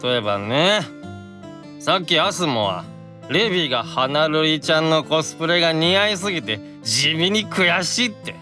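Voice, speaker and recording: Bright, tipsy, affectionate demon brother. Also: male voice, on the high side, animated, moderate pace, faint music behind. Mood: tipsy, insistent. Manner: slurred, childlike drunken earnestness. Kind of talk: Japanese anime-style audio drama.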